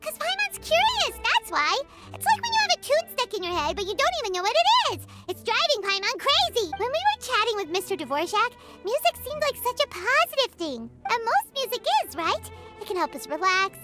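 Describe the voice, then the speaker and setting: A woman voicing a tiny, cheerful, cheeky childlike fairy companion in a video game, squeaky and excitable. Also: a little fast, hardly any pauses. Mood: chirpy, curious. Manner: excitable, refers to self in third person. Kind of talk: animated video game character acting. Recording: game music behind the voice.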